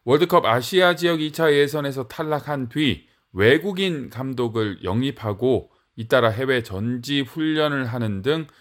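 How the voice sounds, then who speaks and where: A man's voice: mid-range voice, animated, normal speed, hardly any pauses. A man anchoring a radio news program, reading scripted links in a formal announcer tone. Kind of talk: scripted broadcast narration.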